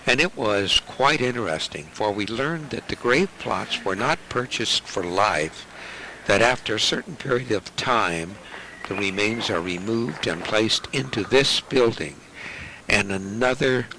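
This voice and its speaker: A man narrating a home travel video in a measured, informative way. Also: lowish voice, lively intonation, bright, forceful tone, a little hiss or hum, low-quality recording.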